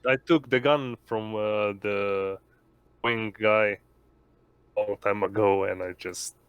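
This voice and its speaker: A man taking part in a tabletop game, talking casually in halting, hesitant phrases. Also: lowish voice, fairly even intonation, clean tone, dry recording, lo-fi sound. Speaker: tabletop RPG player. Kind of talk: casual rules discussion.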